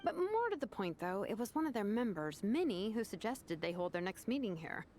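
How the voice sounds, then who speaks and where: An actress playing a laid-back, dryly sardonic young vice captain in a video game, talking in a casual, wry way. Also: female voice, medium pitch, big pitch swings, fairly quick, a little mellow, a little hiss or hum. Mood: amused, dry.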